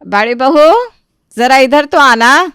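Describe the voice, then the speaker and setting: A woman's voice: high-pitched, slow pace, fairly bright tone, clean tone, no room sound, studio quality. A woman voicing a bossy, scolding, self-important mother-in-law in her sixties for an audio family story. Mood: commanding. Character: bossy nagging old mother-in-law, aged sixty-five.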